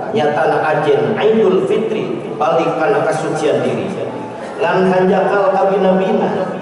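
A middle-aged man with a Sundanese accent, delivering an Eid sermon in measured, rhythmic oratory with Arabic phrases. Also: sits high. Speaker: preacher. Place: large mosque hall full of worshippers. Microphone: stand mic at a lectern, filmed from far. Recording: echoey mosque hall from far off. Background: crowd of worshippers.

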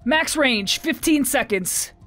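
A woman's voice: sits high, expressive, slow, a bit bright, voice pushed up, exaggerated voice. A battle-ready tank woman , voiced by a woman narrator gives clipped military callouts. She is terse and commanding, in a war audiobook with music underneath. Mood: urgent.

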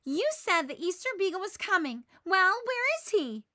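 A woman's voice: very high-pitched, big pitch swings, moderate pace, sharp tone, voice pushed up, heavily acted. A woman voicing an indignant little girl from a storybook, scolding and pointed. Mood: fuming.

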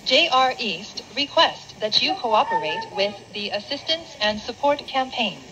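A woman announcer reading a scripted public-service announcement on a train in a formal, deliberate manner. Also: a little high, animated. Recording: onboard announcement with background noise.

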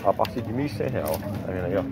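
A man's voice: lowish voice, animated, fast talker. A man, a farmer vlogging a casual walkthrough at an outdoor cattle market, filling his talk with tag questions. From Northeast Brazil. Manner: chatty, fills with "né" and "pessoal". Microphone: handheld phone camera. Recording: outdoor noise of cattle and vehicles.

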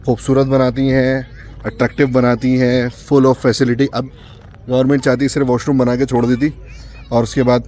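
A man's voice: medium pitch, darkish tone. A man, chatting casually to viewers as a travel vlogger on a mountain picnic spot, pointing things out as he goes. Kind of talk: casual vlog narration. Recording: faint outdoor noise. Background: wind and passing vehicles.

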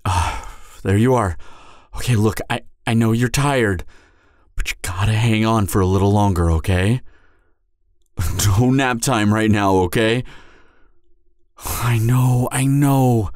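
A man's voice: lowish voice, slow, long pauses, darkish tone, no echo, studio quality. A man playing a devoted hunter boyfriend in an emotional audio roleplay, urgent and stammering, repeating himself as he pleads with his wounded lover. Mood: anxious, soothing.

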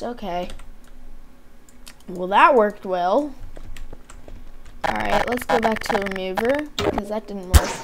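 A woman with a fairly high voice talks very slowly as she plays a game, muttering through her thoughts. Her voice is wearing out, and there is light noise with keyboard clicks behind her. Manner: rambling, thinking aloud, talking to herself. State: losing her voice, often clearing throat. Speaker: gamer hosting a gameplay video. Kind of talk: casual gameplay commentary. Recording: background hiss, keyboard and mouse clicks.

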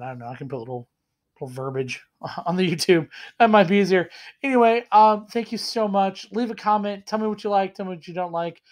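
A hoarse man with a head cold talks into his webcam from a small room at home. He rambles cheerfully and pokes fun at himself while he reviews a whiskey. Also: sits high. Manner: rambling, self-deprecating, enthusiastic. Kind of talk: casual tasting vlog.

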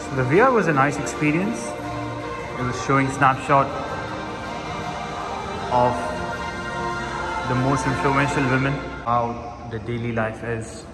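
Young male vlogger, mid-range voice, easygoing exhibit tour, phone-recorded, loud music behind. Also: mid-range voice, a little slow, long pauses. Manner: relaxed, plain commentary with fillers. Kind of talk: casual walkthrough vlog. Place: indoor exhibition pavilion. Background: loud background music.

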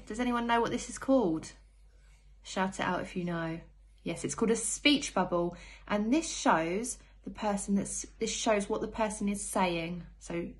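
A young woman teaches early reading to little children at a very unhurried pace, with a medium-pitched voice. She breaks words into single sounds and speaks to the children directly as she reads along. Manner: sounds out words letter by letter, asks the children questions. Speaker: primary school teacher. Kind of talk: read-along phonics lesson for young children.

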